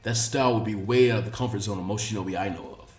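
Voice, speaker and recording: A man voicing a calm, authoritative adult male ninja teacher with a measured delivery in a story reading. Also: fairly low pitch, echoey room, faint music behind, pitched below the performer's own voice, close to an everyday voice. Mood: appraising.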